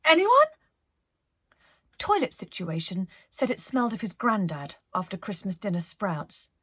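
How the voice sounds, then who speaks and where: A woman narrating a children's story as a storyteller, in an expressive read-aloud style. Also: mid-range voice, normal speed, breathy edge, no echo.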